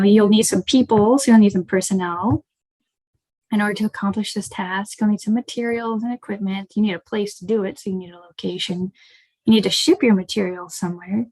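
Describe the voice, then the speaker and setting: A woman's voice: medium pitch, a little mellow, dry recording, poor audio. An American woman giving an informal, conversational webinar talk as a startup co-founder over a video call. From rural Ohio, United States. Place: indoors, online video call.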